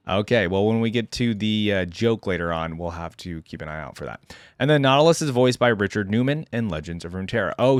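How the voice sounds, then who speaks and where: A man's voice: fairly low pitch, no room sound, clean studio sound. A man hosting a reaction video, chatting casually to viewers and reading bits aloud with commentary. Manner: chatty, addresses viewers directly. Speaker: host of a game character reaction video.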